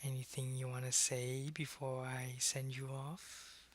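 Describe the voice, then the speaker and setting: A man playing a suave, possessive, centuries-old vampire in an ASMR roleplay, taunting and condescending, often in a breathy half-whisper. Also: medium pitch, completely flat delivery, close to an everyday voice. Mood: possessive, mocking. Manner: slow, deliberate, taunting, condescending.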